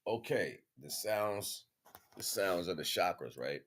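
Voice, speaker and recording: Low voiced guy on a stream doing spiritual teaching, slangy, emphatic, repeats himself a lot. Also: expressive, takes it slowly, fairly bright tone. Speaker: livestream host. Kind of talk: informal spiritual teaching monologue.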